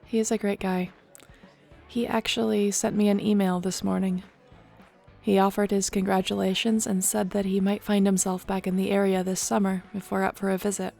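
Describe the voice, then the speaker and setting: A woman acting in an audio roleplay as a warm young shapeshifter clan leader, talking casually and sincerely. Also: mid-range voice, flat delivery, moderate pace, gravelly, soft background music. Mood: fond, sincere. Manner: casual, sincere, conversational storytelling.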